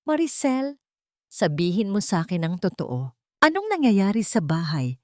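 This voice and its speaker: A woman's voice: mid-range voice, sing-song, normal speed, no echo, clean studio sound, natural voice. A woman voicing a heartbroken young man who pleads in a tearful, shaky voice. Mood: pleading.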